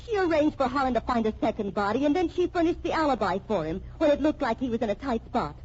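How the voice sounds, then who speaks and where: A woman's voice: sits high, very animated, quick pace, a little mellow, breathy edge, exaggerated voice. A woman playing a cool, accusatory wife in a vintage radio mystery drama. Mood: cool, insistent. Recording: dull, noisy old radio recording.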